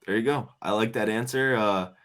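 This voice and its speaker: A man's voice: fairly low pitch, normal speed, fairly bright tone. Laid-back male host reading questions. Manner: laid-back, laughing, teasing. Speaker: podcast host. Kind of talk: casual interview questions.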